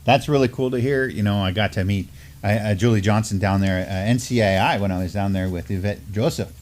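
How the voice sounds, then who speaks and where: A man's voice: lowish voice, a little mellow. Middle-aged guy at a studio desk reading news stories, lots of uhs and restarts. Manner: halting, many fillers while reading. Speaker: co-host. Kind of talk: news discussion, reading articles aloud. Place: studio with mottled backdrop.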